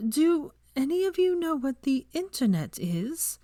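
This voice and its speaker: A guarded, flustered young woman in a storybook reading, voiced by a female narrator. Her delivery is tentative and curt, with wide pitch swings and a close, dry sound. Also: sing-song, a little slow, warm tone, no echo.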